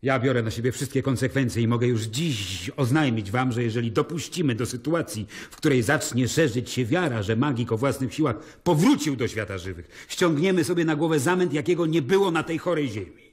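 An actor playing a stern, brooding Roman governor in an audio drama, solemn and commanding. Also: male voice, mid-range voice, fairly quick, few pauses, breathy edge, close to an everyday voice. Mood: commanding, urgent.

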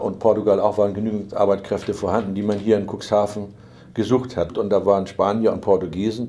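Low, mellow-voiced businessman explaining. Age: middle-aged. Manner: measured, matter-of-fact, occasional fillers. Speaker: company manager. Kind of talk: explanatory interview. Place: office with file binders.

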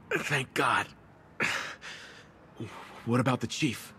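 An actor playing a shaken, loyal firefighter in a video game, speaking earnestly. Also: male voice, mid-range voice, extremely slow, bright, forceful tone, a little hiss or hum. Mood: relieved. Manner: earnest, relieved, worried. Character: shaken, loyal male firefighter rescued from captors.

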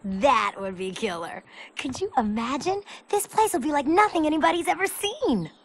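A woman's voice: sits high, very animated, moderate pace. A woman playing a spunky, friendly young skater girl in a video game, sassy and slangy. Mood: excited. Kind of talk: video game cutscene acting.

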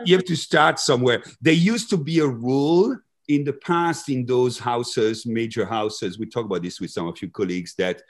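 A man in his fifties hosting a conversational interview over a video call, halting and repeating phrases as he thinks. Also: mid-range voice. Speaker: host, conductor. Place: large living room, video call.

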